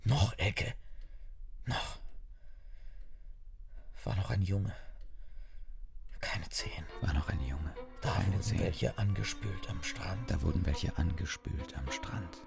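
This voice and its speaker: Haunted man, low and gravelly. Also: animated, takes it slowly, long pauses, music in the background, exaggerated voice. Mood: brooding, fearful. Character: haunted, brooding young dike master confiding fears. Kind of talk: intimate radio drama acting.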